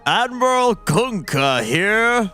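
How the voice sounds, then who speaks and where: A man putting on the voice of a swaggering male pirate admiral, slow and straining for a gruff growl. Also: high voice, very animated, bright, forceful tone, soft background music, pitched above the performer's own voice, exaggerated voice. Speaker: esports panelist in costume.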